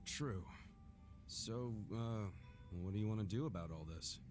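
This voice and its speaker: An actor playing an earnest, blunt, big-hearted tough-guy hero in a video game, with a gruff, hearty voice. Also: on the low side, measured pace, over music, lightly acted. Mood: supportive, earnest. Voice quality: gruff, gravelly. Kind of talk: video game cutscene acting.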